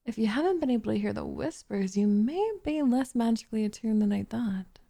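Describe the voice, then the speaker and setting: Woman playing a soft, whispery, possessive witch, slightly creaky. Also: a little high, animated, brisk. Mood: wry, matter-of-fact. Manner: cooing, teasing, smugly condescending, often whispery. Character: doting, possessive young witch turning captive into pet cat. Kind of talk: ASMR roleplay, soft intimate delivery.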